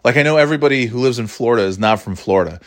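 A man's voice: mid-range voice, racing. A middle-aged man from New York hosting a solo podcast, talking casually in a rambling, wry way with many restarts. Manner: rambling, self-interrupting, wry. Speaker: podcast host.

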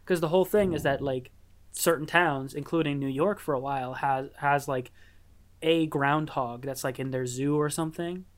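A young man hosting a podcast over a voice chat, rambling, laughing often and swearing casually, with a high-pitched voice. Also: moderate pace. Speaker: podcast host. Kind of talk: casual chat on a remote call. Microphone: computer mic over a voice chat app.

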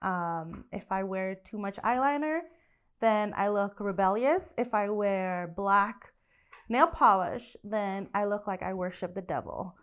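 A woman's voice: mid-range voice, measured pace, clear, resonant tone, dull audio. Woman from Indonesia, co-host, frank and easygoing in conversation. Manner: candid, laughs easily. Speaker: podcast co-host. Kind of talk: casual chat.

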